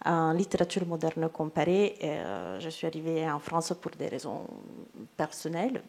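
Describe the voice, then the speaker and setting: A woman's voice: low voice, moderate pace, darkish tone. A woman in her thirties with an Italian accent, a native Italian speaker answering on a round-table panel, hesitant, with many fillers and restarts. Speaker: literary agent for illustrators.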